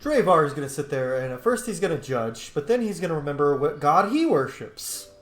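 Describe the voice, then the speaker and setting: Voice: medium pitch, very animated, a little fast. Chatty gamer with a roomy, echoing sound. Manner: informal, chatty, reads dialogue choices aloud. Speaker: gamer roleplaying through a video game. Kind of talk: casual let's play commentary. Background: game music.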